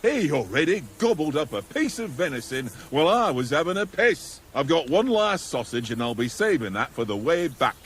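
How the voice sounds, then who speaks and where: A chatty, good-natured manservant. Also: male voice, on the high side, big pitch swings, normal speed, few pauses. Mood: grumbling. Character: easygoing male servant, fond of food. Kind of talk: video game cutscene acting.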